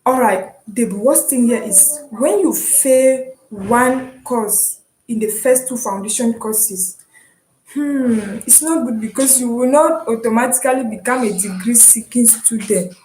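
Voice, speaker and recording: A young woman from Cameroon with a Cameroonian English accent, chatting casually and encouragingly about her online studies, often pausing with "um". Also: fairly high pitch, a little mellow, echoey room, slight background noise, rough recording. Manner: chatty and encouraging, with frequent "um" and restarts. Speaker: vlogger and online student. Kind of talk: casual explanatory vlog.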